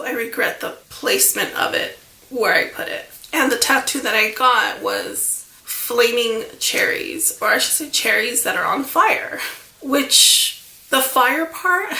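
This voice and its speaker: A woman in her thirties with a high, bright voice that runs gravelly at the ends of phrases, stuffy from a lingering cold. She rambles through a casual vlog story full of "like" and "you know." The room around her is echoey. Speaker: vlogger.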